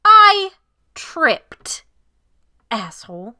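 A woman playing a feisty, clumsy teenage girl in an amateur anime audio drama, brash and expressive. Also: extremely high pitch, very slow, heavily acted. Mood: indignant.

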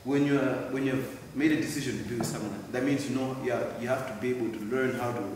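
A man's voice: medium pitch, fairly even intonation, fast. Young man speaking in an echoey hall. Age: 20s. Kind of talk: group discussion. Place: large indoor hall. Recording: echoey hall, distant mic, slight noise.

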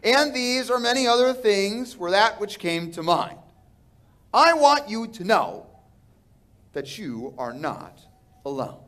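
High, lively-voiced pastor preaching. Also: male voice, slow pace, pauses often. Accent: Texan. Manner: earnest preaching with rising and falling emphasis. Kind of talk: sermon. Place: large church sanctuary, indoors. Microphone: pulpit microphone.

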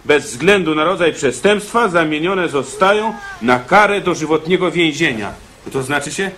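An actor playing a condemned prisoner in a radio drama, deliberate and easily exasperated, with a husky, halting delivery. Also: male voice, a little high, lively intonation, a bit bright, slight background noise. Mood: exasperated, relieved. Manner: hesitant, halting, spelling things out.

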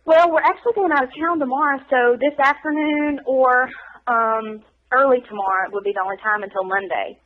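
A woman chatting casually on the phone, explaining things in a halting, chatty way. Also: high-pitched. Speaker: private seller taking a phone call. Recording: dull, muffled phone line.

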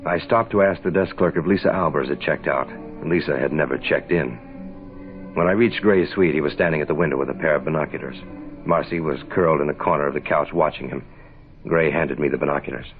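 Very deep hard-boiled radio detective. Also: male voice, fairly quick, faint music behind. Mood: terse. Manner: terse noir narration. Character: hard-boiled, world-weary male private investigator. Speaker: actor narrating as detective. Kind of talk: 1960s radio detective drama acting. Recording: muffled, noisy 1960s radio recording.

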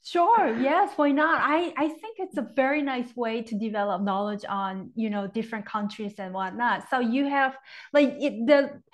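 A woman's voice: a little high, normal speed. A woman hosting a video-call interview from her kitchen, speaking in brief, encouraging affirmations. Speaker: host. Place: kitchen at home. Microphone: earphone mic on video call.

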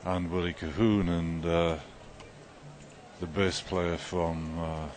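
Very deep-voiced man chatting after a concert. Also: a little hiss or hum. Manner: relaxed, rambling, with many fillers. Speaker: podcast host. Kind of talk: casual chat. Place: outdoors at a festival.

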